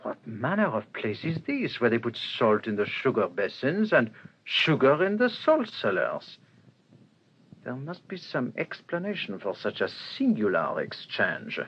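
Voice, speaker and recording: An excitable detective, animated delivery. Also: male voice, medium pitch, measured pace, husky, exaggerated voice. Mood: puzzled musing. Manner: theatrical, musing then demanding. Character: shrewd, excitable French police detective, self-assured. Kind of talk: radio crime drama acting. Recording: dull old radio recording, faint hiss.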